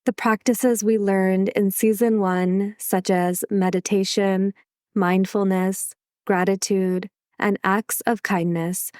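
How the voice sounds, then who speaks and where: A woman hosting a solo podcast who is a little sick, reading her script in a calm, measured way. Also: medium pitch, no echo, clean studio sound. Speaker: podcast host.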